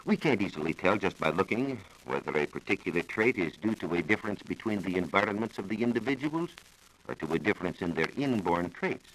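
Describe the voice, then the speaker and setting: An American man giving a scripted science talk on 1940s radio, explaining in a measured, formal manner. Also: low-pitched, animated, fairly bright tone, poor audio. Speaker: science reporter explaining research. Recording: old 1940s radio broadcast recording.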